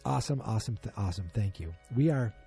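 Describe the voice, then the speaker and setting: Low, husky-voiced male podcast host. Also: expressive, fast, dark tone, soft background music. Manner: rambling, self-deprecating, joking. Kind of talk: casual solo podcast chat.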